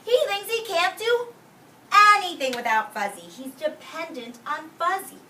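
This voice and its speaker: A woman preschool teacher reading aloud expressively to young children, explaining words simply and giving gentle classroom directions. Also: very high-pitched, expressive, measured pace. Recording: roomy, distant microphone, faint noise.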